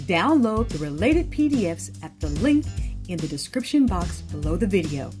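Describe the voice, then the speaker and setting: A woman hosting a children's storytime, speaking in an expressive, teacherly way as she reads and explains. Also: mid-range voice. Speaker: host of a children's read-aloud storytime show. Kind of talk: expressive storybook reading with scripted instructions.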